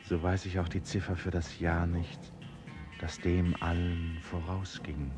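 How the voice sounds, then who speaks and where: A man playing a reflective narrator who recalls a mysterious year long ago, speaking in a measured, brooding, literary way in a radio play. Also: low voice, flat delivery. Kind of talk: 1970s German radio play monologue. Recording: studio radio play with music behind. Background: music.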